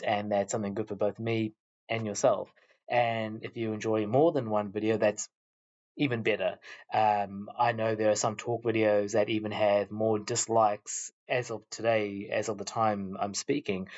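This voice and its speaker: A young New man with a fairly low voice, talking casually to camera. He rambles with plenty of fillers and restarts. The recording sounds dull and lo-fi. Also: darkish tone. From New Zealand. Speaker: YouTuber.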